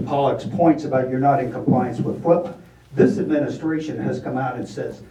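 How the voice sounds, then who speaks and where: An older retired man giving measured, blunt testimony at a county meeting. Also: mid-range voice, vocal fry, dark tone. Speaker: public commenter. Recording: echoey room, distant mic, faint noise.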